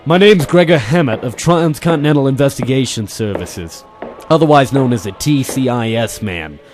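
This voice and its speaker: A man's voice: medium pitch, animated, moderate pace, darkish tone, lightly acted. A man voicing an old, alert detective agency man with a formal, fatherly manner in a radio-style detective drama, music behind him. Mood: avuncular, proud. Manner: formal, avuncular, addresses listener as boy and son.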